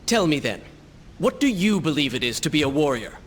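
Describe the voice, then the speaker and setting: A man's voice: fairly high pitch, sing-song, brisk, crowd noise. A man playing an earnest, brooding young warrior prince in a video game, solemn and dramatic. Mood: reflective.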